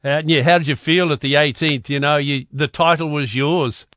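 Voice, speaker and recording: New radio host, dry studio sound. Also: male voice, a little high, fairly even intonation, moderate pace, fairly bright tone, no echo. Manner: friendly, chatty questions. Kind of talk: radio interview. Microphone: studio mic.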